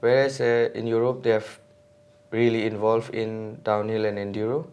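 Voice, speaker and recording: A man's voice: on the low side, flat delivery, normal speed, a little mellow, clean tone, dull audio. A man, a guest on a podcast, talking casually and hesitantly with many fillers and restarts. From India. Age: 20s. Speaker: podcast guest, mountain bike racer.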